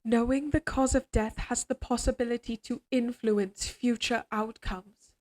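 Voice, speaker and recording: A woman voicing an obsessively devoted, quietly menacing evil queen in an audio drama, speaking in a formal, measured, imperious way. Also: fairly high pitch, steady tone. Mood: controlled menace. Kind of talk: scripted dramatic audio roleplay.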